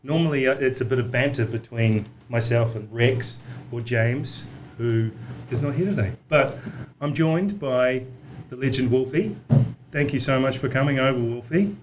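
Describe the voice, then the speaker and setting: A man's voice: medium pitch, normal speed, noisy background. A man hosting a podcast interview who asks hesitant, rambling questions full of fillers. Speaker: podcast host. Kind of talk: casual interview.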